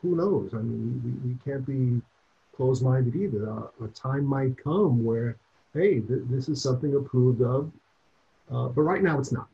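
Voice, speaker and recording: A man's voice: mid-range voice, normal speed, vocal fry, dull audio. An older man from Cuba, a clinician answering interview questions in an earnest way and repeating points for emphasis. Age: 60s or older.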